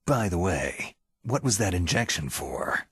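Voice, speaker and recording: An actor playing a gruff, sardonic veteran special forces soldier in a video game, terse and dry, with a gravelly husky voice. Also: male voice, sits low, sing-song, a little slow, fairly bright tone, dry recording, full character voice. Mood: dryly sardonic. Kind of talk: video game cutscene acting.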